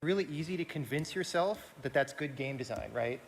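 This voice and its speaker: A man's voice: a little high, animated, brisk, vocal fry. A man speaking critically and with firm opinions as a panelist in a live discussion. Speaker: panelist, game developer. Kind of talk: panel discussion in front of audience. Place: large indoor event hall. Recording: echoey hall, faint noise.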